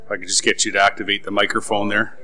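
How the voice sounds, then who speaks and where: A man's voice: on the low side, little pitch movement, very fast, pushed and bright. A man chairing a public committee meeting, making formal procedural announcements at a desk microphone in a council chamber.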